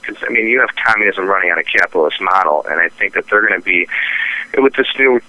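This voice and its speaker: A man's voice: deep voice, expressive, fast, no room sound. A man giving market commentary as a phone interview guest, hesitant and repetitive but opinionated, on a dull phone line. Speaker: trading firm president, interview guest. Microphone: telephone.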